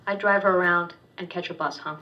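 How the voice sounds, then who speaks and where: A woman author reading her first-person fiction aloud at a public university reading, steady and wry with small stumbles. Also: lowish voice, brisk. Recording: distant dull lo-fi recording.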